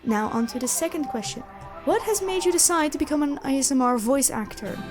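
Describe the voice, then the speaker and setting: A young woman with a fairly high voice talks through viewer questions in a chatty way. Her delivery is expressive, stretching some words for emphasis. Music plays softly behind her. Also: fairly quick. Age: young adult. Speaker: ASMR audio roleplay creator and voice actor answering questions. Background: background music.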